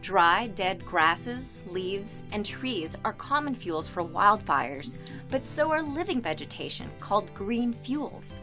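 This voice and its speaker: A woman reads scripted explainer narration in a measured, clearly enunciated way. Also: medium pitch, sing-song. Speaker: video narrator. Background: music.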